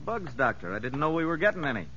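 Blunt guard, echoing sound. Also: male voice, on the high side, sing-song, quick pace, a bit bright. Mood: offhand. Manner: offhand, slangy. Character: casual, blunt prison guard. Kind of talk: 1950s American radio drama acting. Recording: muffled, reverberant, noisy old radio broadcast.

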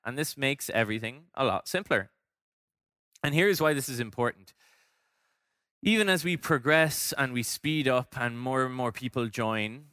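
A man with a crisp, bright voice delivers a prepared talk in a very dry recording. He explains his points in an orderly way, slipping in conversational asides as a presenter would. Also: medium pitch, dry recording. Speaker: product design director giving a talk. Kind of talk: prepared conference-style presentation.

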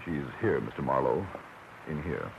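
An actor playing a courteous, solemn mortuary attendant in a 1940s radio drama, with a formal, polite, hushed manner. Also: male voice, rumbling low, lively intonation, normal speed, creaky, heavily acted. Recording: muffled, very noisy old radio recording.

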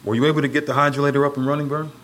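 An actor playing a gruff, suspicious working man with a blunt, grumbling manner. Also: a little high, level tone, very fast, a bit bright, a little hiss or hum, lightly acted. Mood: businesslike. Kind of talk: scripted audio drama acting.

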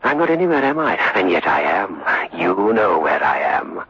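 Creepy deep husky ghost, sly and taunting, old muffled radio horror show. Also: male voice, big pitch swings, moderate pace, heavily acted. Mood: taunting menace. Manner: mocking, insinuating. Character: taunting, menacing male ghost haunting a guilty man's mind. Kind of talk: 1960s radio horror acting.

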